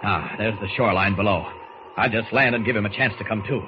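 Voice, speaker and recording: A man's voice: fast, lightly acted. An actor playing a heroic, protective man in a 1940s radio serial, with a dramatic delivery. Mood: relieved, solemn. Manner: dramatic, shifts between deep hero voice and lighter everyday voice. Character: heroic adult man in two guises, caring, protective. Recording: muffled, noisy old radio recording.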